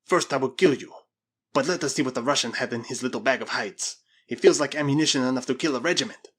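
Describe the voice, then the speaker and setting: A man's voice: medium pitch, quick pace, a bit bright, husky. A man voicing a surly, boastful, half-mad Spanish villain in an adventure storybook, commanding and menacing. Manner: menacing, commanding, given to ranting.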